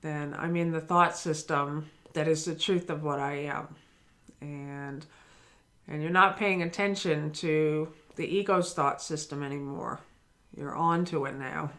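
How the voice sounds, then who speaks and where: A woman's voice: deep voice, fairly even intonation, a little slow, a bit bright. A woman giving a daily spiritual lesson, earnest and encouraging, speaking directly to the listener. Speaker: spiritual teacher.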